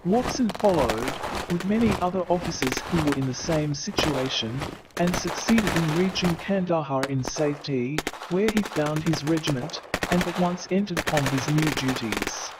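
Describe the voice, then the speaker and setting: A man playing a theatrical owl narrator who hosts a comic stage play with grandiloquent, wry, deliberate delivery. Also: a little high, heavy background noise, natural voice. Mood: grandly theatrical. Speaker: narrator and host.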